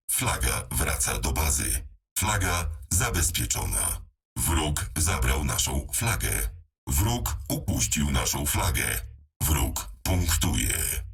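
A man playing a robotic battle mech computer that announces military status in clipped, deliberate phrases through machine-like processing. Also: very deep voice, gravelly, husky, far from the mic, full character voice. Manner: terse, deliberate machine-like delivery.

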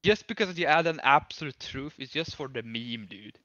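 A man hosting a heated casual debate over a voice call, insistent and quick to cut others off with repeated words. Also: mid-range voice, animated, pushed and bright, no room sound, lo-fi sound. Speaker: debate host. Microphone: voice call mic.

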